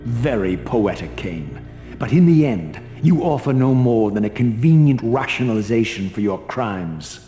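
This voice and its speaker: An actor playing a bitter, defiant undead vampire wraith in a video game, with formal and disdainful delivery. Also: male voice, medium pitch, animated, a little slow, dark tone, natural voice. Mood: dry contempt. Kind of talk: dramatic video game acting. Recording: echoey hall reverb over music.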